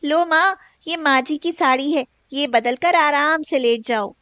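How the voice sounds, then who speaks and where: Woman playing a high-voiced young daughter-in-law. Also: a little slow, sharp tone, no echo. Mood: coaxing. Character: firm young daughter-in-law, dutiful and caring.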